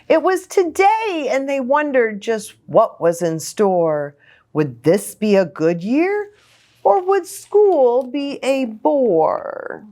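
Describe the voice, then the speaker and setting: A woman hosting a children's story time, reading a picture book in an expressive, sing-song way and speaking to young children. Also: a little high. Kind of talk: animated children's picture-book read-aloud.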